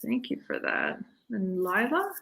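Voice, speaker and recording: A woman's voice: mid-range voice, wildly swooping pitch, measured pace, gravelly, sharp tone. A woman moderating a live online panel, chatty and full of "um" and "you know" fillers.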